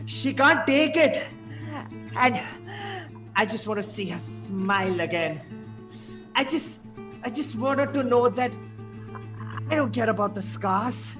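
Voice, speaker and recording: A man playing a manic, menacing criminal clown in a live table read, taunting with drawn-out words and cackles. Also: extremely high pitch, expressive, music in the background. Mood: sinister, taunting.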